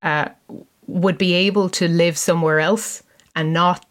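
An Irish woman with a softened, neutral accent speaks reflectively, thinking aloud. Her voice is quite low for a woman. She sounds thoughtful and measured. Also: steady tone. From Limerick, Ireland. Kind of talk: casual chat.

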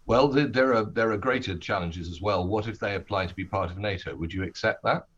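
A British man speaking as a politician in an interview, making his case in an earnest, measured way with hesitant phrasing. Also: fairly low pitch, fairly bright tone, husky, highs cut off.

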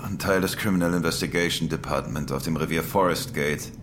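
An actor playing a terse, gritty London police detective in an audio drama, speaking in a curt, dry way. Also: male voice, rumbling low, fairly quick. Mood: curt, sardonic. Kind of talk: audio drama acting, interrogation scene. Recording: clear background noise under the voice.

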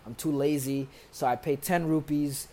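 A young American man, a podcast guest, casually telling animated stories with jokes and laughs. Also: fairly high pitch, moderate pace, slight background noise. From United States. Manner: animated, joking, laughs through his stories. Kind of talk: casual conversational storytelling.

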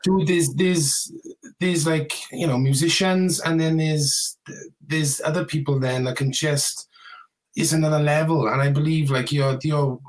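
A man's voice: on the high side, fairly even intonation, unhurried, rough recording. A Welsh man hosting a casual podcast, talking with an effusive, enthusiastic manner and plenty of fillers. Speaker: podcast host, band musician.

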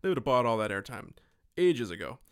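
A man co-hosting a podcast, casually retelling a film in a joking, animated way and happy to swear. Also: medium pitch, fairly quick, clear, resonant tone, dry recording, clean studio sound. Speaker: podcast co-host. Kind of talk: casual film recap chat.

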